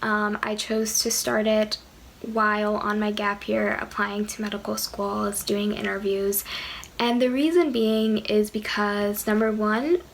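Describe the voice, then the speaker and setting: Soft-spoken young woman with vocal fry. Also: mid-range voice, fairly even intonation, measured pace, slight background noise, low-quality recording. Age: 20s. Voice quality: quiet voice. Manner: soft-spoken, hesitant with many fillers. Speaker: vlogger. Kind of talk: casual talk to camera.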